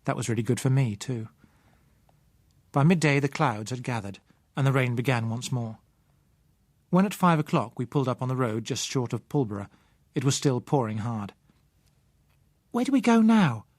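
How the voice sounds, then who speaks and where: A man with a British accent reading a novel in the first person as an audiobook narrator, in a measured, literary way. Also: mid-range voice, dry recording, clean studio sound.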